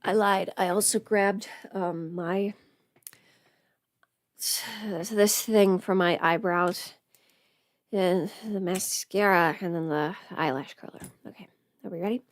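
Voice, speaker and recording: A woman's voice: mid-range voice, fairly even intonation, slow. A woman thinking aloud in a casual, rambling livestream chat as she gets ready, swearing lightly. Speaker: livestream host.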